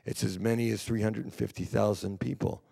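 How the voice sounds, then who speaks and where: A man speaks on a panel in a low, creaky voice that carries long hall reverb. He is earnest and deliberate, halting now and then as he makes his points. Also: steady tone, mellow. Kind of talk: earnest panel remarks on war victims.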